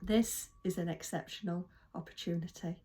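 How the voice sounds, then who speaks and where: A woman's voice: on the low side, fairly even intonation, moderate pace, a crowd in the background. A woman conducting a conversational interview, introducing her guest and asking simple personal questions.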